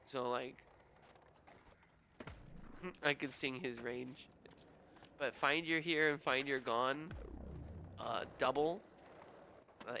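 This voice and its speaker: A man's voice: medium pitch, bright, forceful tone, slight background noise. A young adult man with a nasal, whiny voice, rambling casually and making crude jokes while streaming a game.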